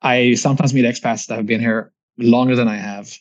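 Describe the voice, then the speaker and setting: A man's voice: medium pitch, fairly even intonation, brisk. Swedish-accented man, dull lo-fi audio. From Sweden. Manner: reflective, chatty, frequent restarts and "right?" tags. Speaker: guest. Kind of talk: casual interview conversation.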